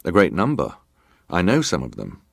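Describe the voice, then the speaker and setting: An actor playing a terse, guarded ship's officer giving formal, respectful, matter-of-fact testimony in a dramatised hearing. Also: male voice, sits low, wildly swooping pitch, moderate pace, gravelly, no room sound, clean studio sound, full character voice. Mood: precise, formal. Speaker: actor reading a witness's testimony.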